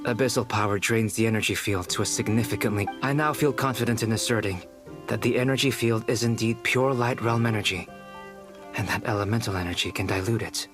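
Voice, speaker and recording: A man playing a calm, erudite young alchemist in a video game, speaking in a composed, precise, scholarly manner. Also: on the low side, a little fast, music in the background, close to an everyday voice. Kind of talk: scripted video game voice acting.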